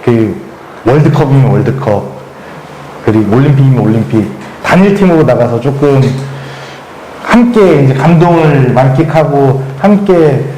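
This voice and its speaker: A man's voice: medium pitch, animated, moderate pace, mellow. Earnest young man in an echoey hall. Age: young adult. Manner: polite, earnest, light humor. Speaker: panel guest. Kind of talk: panel discussion answers. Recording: echoey hall with faint noise.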